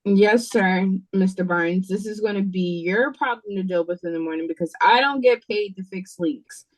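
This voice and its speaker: A woman's voice: mid-range voice, moderate pace, voice pushed down, natural voice, rough recording. A woman playing a bossy, impatient, sarcastic waitress in an audio play read-through over a video call. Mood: busy, annoyed.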